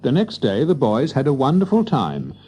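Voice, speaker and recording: A man narrating a scripted children's story in an expressive storytelling manner. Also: mid-range voice, normal speed, muffled recording, slight background noise.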